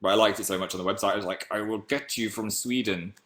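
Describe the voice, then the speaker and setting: A man in his twenties from England with a deep voice, chatting playfully on a webcam livestream while reading comments. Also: fairly even intonation, quick pace, fairly bright tone. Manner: playful, jumps between high and low pitch. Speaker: livestream host. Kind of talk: casual live chat reading comments.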